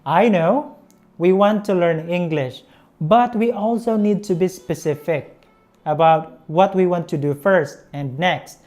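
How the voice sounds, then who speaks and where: A woman's voice: lowish voice, a little mellow. A young woman with a Filipino accent, a native Visayan speaker, teaching an English lesson in a careful, encouraging way on a lapel mic. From Philippines. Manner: slow, careful, encouraging delivery.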